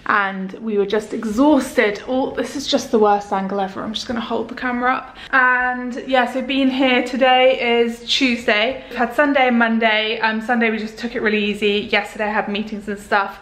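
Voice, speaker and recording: A woman's voice: on the high side, fairly even intonation, moderate pace, few pauses, fairly bright tone. Young woman vlogger, chatty and upbeat, camera held at arm's length indoors. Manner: chatty, upbeat, rambling with fillers. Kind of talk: casual vlog house tour. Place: rented house, indoors. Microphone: handheld camera at arm's length.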